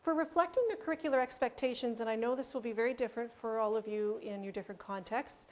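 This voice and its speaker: A woman giving a conference presentation on language teaching, explaining ideas and pausing with fillers. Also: fairly high pitch.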